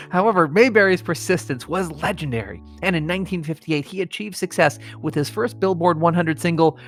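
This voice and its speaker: Male American comedy host, higher register, playing it solemn over background music, slips between reading and banter. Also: normal speed, long pauses, fairly bright tone. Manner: mock-solemn reading that keeps breaking into suppressed laughter. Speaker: comedy podcast host reading mock obituaries. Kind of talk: reading scripted mock obituaries aloud, with casual banter.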